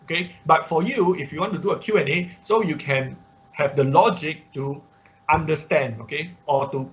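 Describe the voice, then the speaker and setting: A man instructing an online class through a live software demonstration, talking haltingly as he thinks aloud. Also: a little high. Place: indoors, online class at a computer. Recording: muffled online class audio with background noise.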